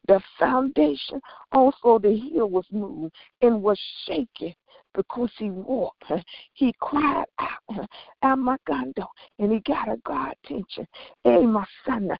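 High-pitched woman preaching fervently, dull lo-fi sound. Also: no room sound. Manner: punctuates short phrases with breathy "huh" and "hey"; emphatic, repetitive phrasing with praise interjections. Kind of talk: fervent extemporaneous Pentecostal-style sermon.

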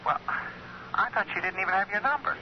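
A man's voice: high voice, quick pace, natural voice. An actor playing a puzzled, reluctant young man, hesitant and questioning, in a 1970s radio drama. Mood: puzzled, yielding. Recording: dull radio broadcast, faint noise.

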